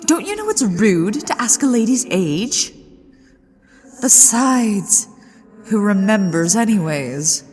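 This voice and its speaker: A haughty lady ghost of a haunted manor, acted out by a woman, speaks slowly in a theatrical, imperious ASMR roleplay. Her delivery swings widely in pitch as she teases and threatens. Long reverb trails every phrase. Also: very animated, a little mellow. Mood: haughty, playful. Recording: long hall reverb with music behind.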